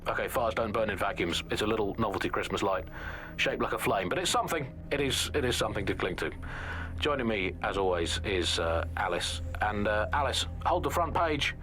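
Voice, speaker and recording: A man's voice: fairly low pitch, expressive, fairly quick, pushed and bright. A middle-aged British man playing a wry, sardonic pirate radio host who delivers rambling political satire.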